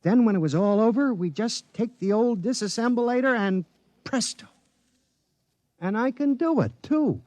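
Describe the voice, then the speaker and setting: An American actor playing an anxious, indignant inventor in a 1950s radio drama, earnest and flustered. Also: male voice, very high voice, expressive, normal speed, a little mellow. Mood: excited. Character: anxious, indignant, frustrated inventor, young man.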